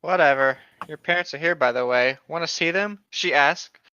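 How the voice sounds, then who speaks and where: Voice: mid-range voice, normal speed, bright, forceful tone, smooth. A speaker reading aloud casually, irreverent and riffing, breaking off into joking asides, on a slightly muffled microphone. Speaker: co-host of a creepypasta read-along.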